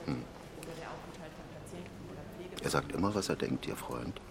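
An actor playing a middle-aged conservative politician in a radio drama, reserved and courteous, speaking in formal, hesitant phrases. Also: male voice, very deep voice, lively intonation, takes it slowly, vocal fry, a crowd in the background, heavily acted. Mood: polite, hesitant. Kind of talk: naturalistic radio drama dialogue.

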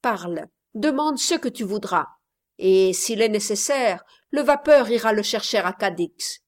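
A woman voicing a blustering, miserly old father who exclaims loudly and insistently, in a read-aloud story. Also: medium pitch, animated, a little slow, lightly acted.

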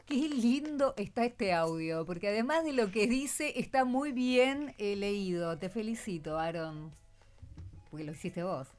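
A woman's voice: mid-range voice, measured pace, a little mellow, faint music behind. A woman with an Argentine accent hosting an informal radio chat with schoolchildren, prompting with short questions and echoed answers. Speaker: radio host.

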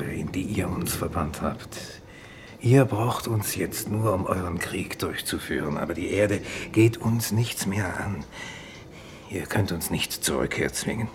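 An actor in a 1950s German radio play, playing a resigned, unbending exiled doctor who speaks in measured, grave declarations. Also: male voice, sits low. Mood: defiant, grave. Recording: faintly noisy 1950s radio recording.

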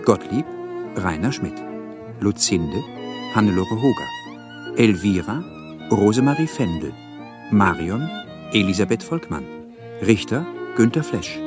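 Male radio announcer, very unhurried and formal, reading scripted credits with violin music clearly underneath. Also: fairly low pitch, big pitch swings. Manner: measured, formal. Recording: music behind the voice.

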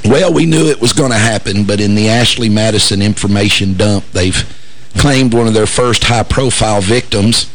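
A man with a hoarse, raspy, somewhat low voice hosts a talk radio show. He talks in a casual, wry way, throwing in jokes and stumbling through fillers and restarts. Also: normal speed. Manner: wry, joking asides, frequent fillers and restarts.

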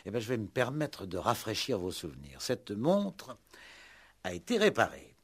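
A man's voice: lowish voice, huge pitch swings, normal speed, slightly rough, exaggerated voice. A man playing a wry, insistent police commissioner in a 1960s French radio play, probing with mock politeness and pointed repetition.

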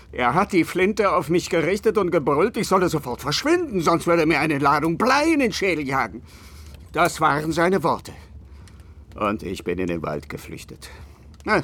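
Volatile drunken old painter, swooping voice. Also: male voice, fairly high pitch, fairly bright tone, slightly rough, full character voice. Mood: defensive. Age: older. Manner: theatrical, declamatory, emotionally volatile. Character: drunken, wounded, self-pitying old painter. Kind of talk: German radio drama acting.

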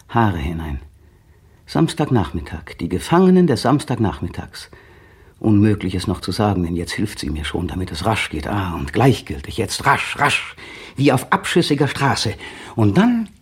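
A man's voice: fairly low pitch, breathy edge, heavily acted. An actor playing a brooding man in a radio drama, narrating his memories in an inner monologue that sometimes rises to fevered intensity. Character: brooding man recalling a still afternoon.

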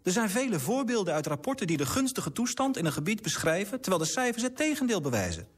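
An idealistic clerk in a radio play. He argues earnestly and formally in a slightly high, husky male voice. His intonation is very lively and his phrasing articulate. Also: quick pace, exaggerated voice. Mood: indignant, persuasive. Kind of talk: radio drama acting.

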